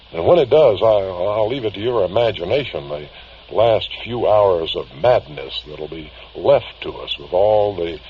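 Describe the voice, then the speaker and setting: Worried older confessor, radio drama. Low, animated delivery, earnest and deliberate, dull hissy tape sound. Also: male voice. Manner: halting, deliberate, earnest, pausing mid-phrase. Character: grave, worried older man confiding strange cases on tape. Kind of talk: vintage radio drama acting, confessional monologue. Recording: dull vintage radio recording with hiss.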